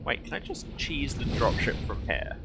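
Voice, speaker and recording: A man's voice: mid-range voice, very animated, moderate pace, creaky. A man giving casual video game playthrough commentary with dry, wry, self-mocking humour. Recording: loud game noise behind voice. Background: video game sound, vehicle noise.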